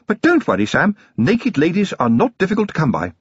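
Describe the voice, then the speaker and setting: A man's voice: high voice, wildly swooping pitch, brisk, husky, no room sound, muffled recording, voice pushed up, heavily acted. A man voicing a genteel noblewoman with a refined, gushing upper-class lilt. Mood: fond. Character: genteel noblewoman, warm and affectionate.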